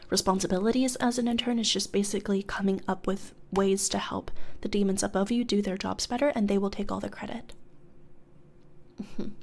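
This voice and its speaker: A woman playing a polite, blasé demon HR interviewer in a soft-spoken ASMR role-play, partly whispered, with a casual, hesitant, dryly bureaucratic manner. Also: mid-range voice, natural voice. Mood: matter-of-fact, coy.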